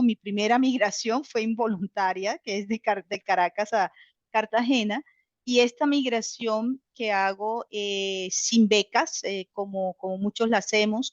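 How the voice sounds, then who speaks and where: A woman talks reflectively, stopping often on fillers and restarting her sentences. Her recording sounds a little dull and close, with no room echo. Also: mid-range voice. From Colombia. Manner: thoughtful, many fillers and restarts. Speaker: author. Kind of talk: reflective interview answer.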